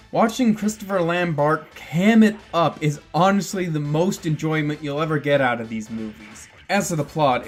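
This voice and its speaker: A man giving casual, opinionated film commentary in a blunt, sardonic way. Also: fairly high pitch. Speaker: video essayist reviewing films.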